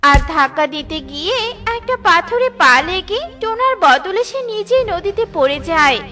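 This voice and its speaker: A woman narrating a cartoon story in a lively storytelling way. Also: extremely high pitch, moderate pace. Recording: with light background music.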